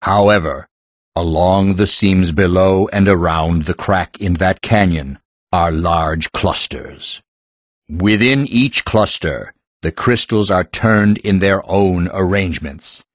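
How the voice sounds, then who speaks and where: Deep, slow ancient stone being. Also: male voice, no echo. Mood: solemn, instructive. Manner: formal, pedantic, measured wording. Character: ancient living mountain heart, solemn male stone teacher.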